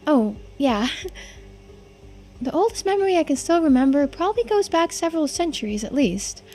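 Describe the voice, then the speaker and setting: A woman's voice: high-pitched, sing-song, normal speed, mellow, soft background music. A woman voicing a playful, ageless teenage girl in a video game, with a hesitant, understated and coy way of talking. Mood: reflective, playful. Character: immortal centuries-old girl who looks seventeen, coy, playful. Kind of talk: voiced visual novel playthrough.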